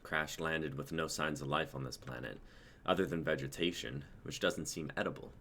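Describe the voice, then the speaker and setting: Man acting deep voice, self-important young techie, pompous, pessimistic, amateur sci-fi drama reading. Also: fairly even intonation, moderate pace, fairly bright tone, a little hiss or hum. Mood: grim.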